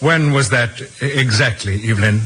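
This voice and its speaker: An actor playing a formal, guarded doctor and husband in an old radio drama, speaking with careful hesitation. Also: male voice, medium pitch, fairly quick, bright, forceful tone, a little hiss or hum, natural voice. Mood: grave. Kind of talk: 1940s-50s radio acting.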